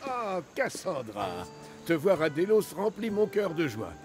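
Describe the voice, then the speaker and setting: A man's voice: sits high, big pitch swings, unhurried, over music, exaggerated voice. An actor playing a genial, probing male philosopher in a French game dub, musing and teasing.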